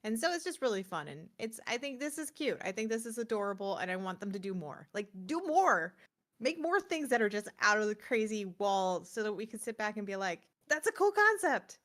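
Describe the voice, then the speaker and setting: Female co-host, mid-range voice, expressive and emphatic in loose, conversational talk. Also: mid-range voice, brisk. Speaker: podcast co-host. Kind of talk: casual chat.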